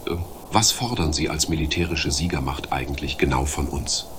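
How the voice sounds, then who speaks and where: A man playing a timid, defeated mayor in an improvised comedy radio play, halting and stammering as he talks. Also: rumbling low. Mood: meek. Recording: faint noise, amateur 1980s radio play.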